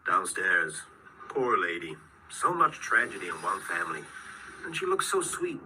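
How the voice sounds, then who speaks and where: A folksy, genial undertaker in a radio drama, obliging and drily funny. His pitch moves about freely, a bit off-mic in noisy sound. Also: big pitch swings, moderate pace, sharp tone, far from the mic, heavily acted. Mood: sympathetic, curious. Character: genial, obliging small-town undertaker with dry humour.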